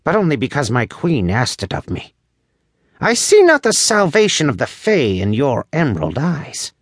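A man voicing a tiny, proud male elf in a high-pitched storybook voice, with grudging and old-fashioned formal speech. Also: huge pitch swings, moderate pace, breathy edge, no room sound, studio quality, heavily acted. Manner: grudging, archaic formal phrasing. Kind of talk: audiobook character voice.

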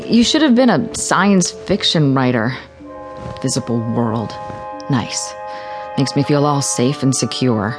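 An actress playing an anxious, sharp-tongued young mother in a radio drama. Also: extremely low pitch, animated, measured pace, over music. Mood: dry, reproachful.

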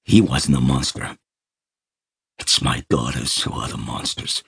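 Man acting out a husky, imperious old tycoon, blustering. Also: very deep voice, unhurried, a bit bright, no echo, voice pushed down, full character voice. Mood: impatient. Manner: blustering, indignant. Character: imperious, irascible, distressed old male tycoon. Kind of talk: audiobook character acting.

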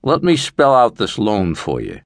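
A streetwise, middle-aged money lender with menace under a businesslike manner, acted out by a male narrator. He lays out terms in a measured way, with lively pitch, recorded close and dry. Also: no room sound, full character voice. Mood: cool, businesslike. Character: calculating middle-aged male loan shark, cool and menacing.

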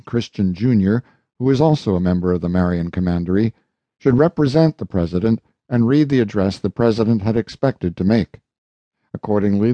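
A man narrating an audiobook, reading a formal historical address in a measured, solemn, oratorical manner. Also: medium pitch, mellow, no echo, dull audio.

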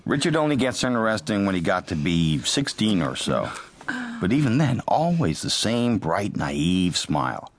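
A man's voice: lowish voice, very animated, normal speed. An actor playing a calculating, detached young American man, narrating dryly and confidingly in a radio drama. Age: young adult.

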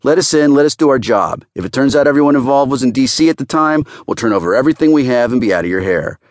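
A man's voice: mid-range voice, animated, fast, no room sound, studio quality, pitched above the performer's own voice, natural voice. A man voicing a brusque, confident senior female federal agent in an audiobook, with a wry, commanding, needling manner. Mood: needling, firm.

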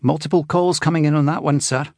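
A young woman at police control, played by a male audiobook reader. The voice is pitched well up, calm and collected, with polite efficiency. The sound is dry. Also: quick pace, no room sound, lightly acted. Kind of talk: audiobook character dialogue.

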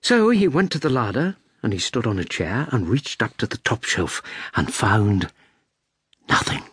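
A man narrating a children's story in a husky, breathy voice, with expressive and measured delivery. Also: fairly low pitch, dry recording, studio quality.

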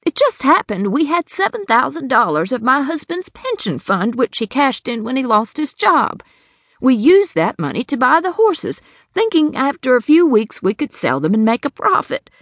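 Brief: a woman doing a frantic, cheated housewife in a storybook, high and trembling, voice leaping all over. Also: sing-song, moderate pace, a bit bright, no echo, studio quality, full character voice. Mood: worried. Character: distraught middle-aged woman cheated of her savings.

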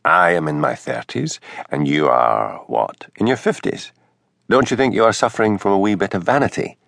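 A man playing a wry Highland policeman in his thirties who speaks in a severe, sardonic way. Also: animated, moderate pace, vocal fry, fairly bright tone, no room sound, studio quality, exaggerated voice.

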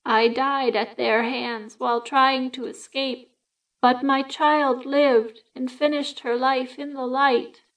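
Woman doing a flat, chilling ghost. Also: high-pitched, takes it slowly, far from the mic, voice pushed up, exaggerated voice, low-quality recording. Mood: cold. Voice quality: unemotional, flat.

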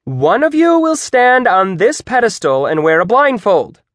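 A man's voice: high-pitched, very animated, a little slow, dry recording, studio quality, pitched above the performer's own voice, full character voice. A man voicing a bossy, relentlessly peppy female gym teacher who exclaims everything, in an audiobook. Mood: overexcited. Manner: exclamatory, shouting cheerleader enthusiasm. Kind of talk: audiobook character voice.

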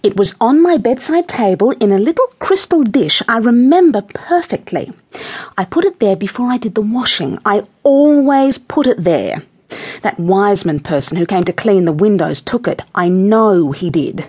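A woman's voice: lowish voice, moderate pace, few pauses, darkish tone, natural voice. A woman voicing a fussy, gossipy older woman neighbour in a children's storybook, twittering and insistent with a sugary edge. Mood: indignant. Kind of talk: children's audiobook character voice.

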